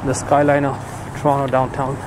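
A man's voice: medium pitch, monotone, fairly quick. A man giving casual walking-tour commentary as a vlogger, recorded outdoors in a city. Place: outdoors on city streets and waterfront. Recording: loud outdoor traffic and city noise. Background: passing cars and urban ambience.

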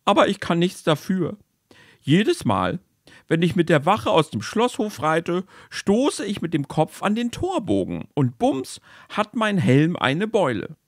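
A man's voice: high-pitched, wildly swooping pitch, measured pace, no room sound, studio quality, pitched above the performer's own voice, exaggerated voice. A man voicing a tearful young soldier of the royal guard who sobs and stammers in a bedtime story. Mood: weepy. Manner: sobbing, stammering.

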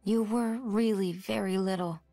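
An actress playing a kind, earnest young female warrior mage in a video game, with a calm, gentle voice. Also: medium pitch, a little slow, no echo, clean studio sound, soft background music, natural voice.

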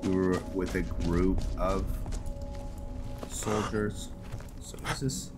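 A man giving casual, low-key video game commentary, thinking aloud as he plays, with music behind him. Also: steady tone, slow pace. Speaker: gameplay host.

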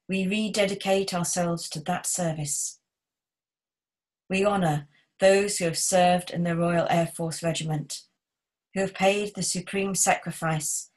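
A middle-aged woman vicar reading formal liturgy in a solemn, measured way. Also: on the low side. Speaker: vicar leading worship.

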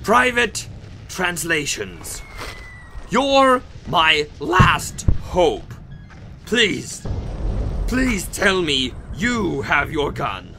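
A man's voice: a little high, huge pitch swings, drawn-out words, creaky, music in the background, exaggerated voice. A man playing an exasperated, stubborn army general in a comedic radio play, barking indignantly and emphatically. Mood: pleading. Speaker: actor in audio drama.

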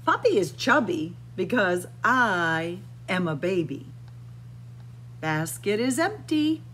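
A middle-aged woman reading a picture book aloud to young children in a deliberate, expressive, sing-song way, recorded indoors. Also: mid-range voice, slight background noise. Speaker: children's library storyteller. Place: indoors in a library room with bookshelves.

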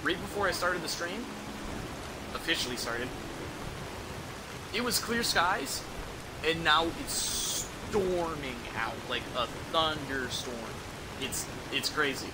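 A man's voice: a little high, lively intonation, slow, pauses often, heavy background noise. A young man giving casual live game commentary in a rambling, informal, sweary way. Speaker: video game streamer. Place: indoor room at a streaming setup. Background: game music and sounds.

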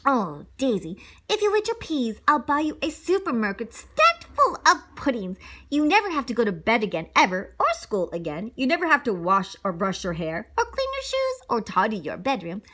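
A woman's voice: a little high, wildly swooping pitch, normal speed, pushed and bright, exaggerated voice. A woman voicing a doting, theatrical storybook mother who cajoles and gushes. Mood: gushing. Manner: cajoling, gushing, dramatic. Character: doting, theatrical mother coaxing with extravagant bribes.

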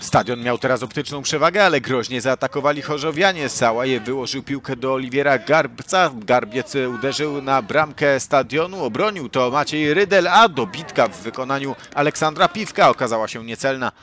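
A man's voice: medium pitch, moderate pace, hardly any pauses. Man narrating a youth football report. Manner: lively commentary, rising at goals and chances. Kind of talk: scripted sports voice-over.

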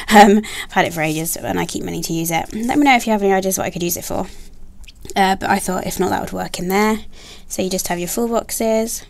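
A young woman chatting casually about planner stickers, soft and gushing, with many ums and likes. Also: fairly low pitch, gravelly. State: has a bad cold, nasal and throaty. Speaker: planner sticker haul vlogger. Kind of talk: casual haul chat. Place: indoors at a table, filming her hands.

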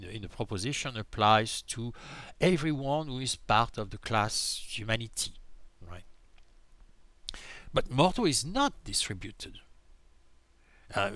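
A man's voice: lowish voice, pushed and bright, dry recording. A man giving a recorded slide lecture, explaining step by step and checking in with "right?" as he goes. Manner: explanatory, frequent "right?" checks and self-corrections. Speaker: philosophy lecturer.

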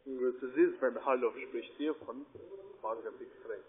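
A man's voice: medium pitch, measured pace. A man working as a call centre agent, speaking on a sales phone call. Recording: tinny noisy phone line.

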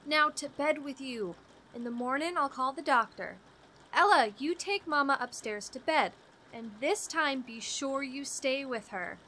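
An actress playing an unsuspecting, caring wife in a radio horror drama, with a folksy, fussing, bossy manner. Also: female voice, high-pitched, unhurried, bright, forceful tone. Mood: fussing concern. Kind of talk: old-radio drama acting. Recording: noisy background ambience.

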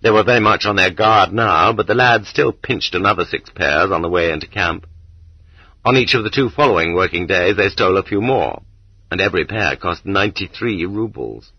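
A man narrates a nonfiction audiobook in a very low voice. His tone has a husky grain. The recording is dry, with the dulled, lo-fi sound of a cassette transfer. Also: normal speed, dry recording. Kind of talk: scripted narration of a nonfiction book.

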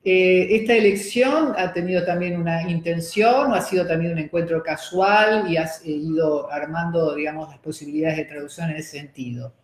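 A woman's voice: on the low side, moderate pace, hardly any pauses. A middle-aged woman with an Argentine accent hosting an interview and asking hesitant questions with fillers, seated at a table in a room. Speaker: host. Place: room with wooden table and screen. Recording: roomy echo.

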